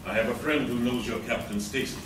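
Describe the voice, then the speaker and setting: A man playing an earnest, naive gargoyle warrior in a live radio play, with a solemn, measured delivery. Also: mid-range voice, lightly acted. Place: hotel conference room before an audience. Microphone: far camera in audience. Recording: echoey hall from far off, very noisy.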